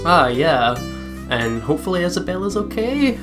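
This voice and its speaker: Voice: mid-range voice, big pitch swings, moderate pace, over music. A Scottish let's player chatting casually and agreeably while playing a visual novel as co-host. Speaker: co-host of a gaming let's play.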